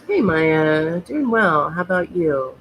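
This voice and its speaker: A woman hosting a talk show while she has a cold, sounding a little snuffly and speaking haltingly with many fillers and pauses. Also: low voice, lively intonation, husky, poor audio. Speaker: host. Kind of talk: casual chat.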